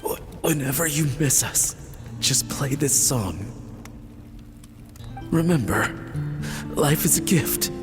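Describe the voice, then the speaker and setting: An actor playing a wounded, gentle father speaking earnestly and tenderly in a dramatic video game scene. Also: a little high, animated, takes it slowly, long pauses. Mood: tender, urgent. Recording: long reverb under loud music.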